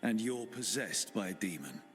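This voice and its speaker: A man's voice: on the low side, expressive, normal speed, fairly bright tone, faint music behind. A direct, determined male game hero. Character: plain-spoken, determined adventurer hero, a man. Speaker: actor. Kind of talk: game cutscene acting, natural.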